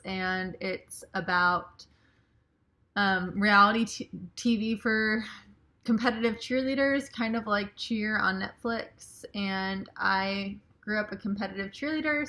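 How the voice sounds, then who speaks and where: Female author, chatty informal greeting, bright forward tone. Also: medium pitch. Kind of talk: recorded message to a library audience.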